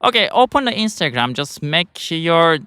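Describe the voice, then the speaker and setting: A man's voice: fairly high pitch, sing-song, normal speed, bright, forceful tone, clear, resonant tone. Man with a Turkish accent, rambling. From Turkey. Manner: rambling, many fillers and restarts. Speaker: vlogger. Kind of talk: casual talk to camera.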